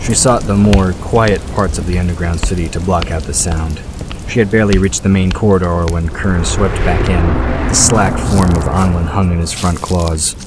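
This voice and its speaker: Deep-voiced man narrating a fantasy story. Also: fairly even intonation, moderate pace. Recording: clear background ambience noise.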